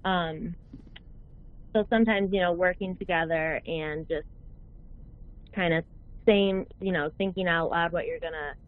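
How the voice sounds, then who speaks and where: A woman's voice: on the low side, steady tone, a little slow, long pauses. A woman in her thirties with a Midwestern accent calling in to a radio show, friendly and thinking aloud as she asks her question. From American Midwest. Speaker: caller. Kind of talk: phone-in question. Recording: tinny phone line.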